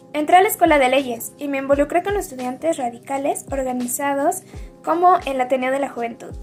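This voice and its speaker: A woman's voice: sits high, darkish tone. A woman reading a scripted biographical narration in the first person, as a student presenter.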